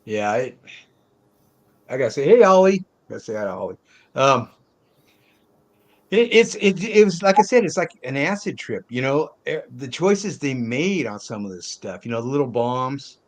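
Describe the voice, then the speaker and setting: A man's voice: mid-range voice, big pitch swings, moderate pace. Older male panel host, casual film talk, rambling, opinionated, colloquial. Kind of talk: casual movie discussion.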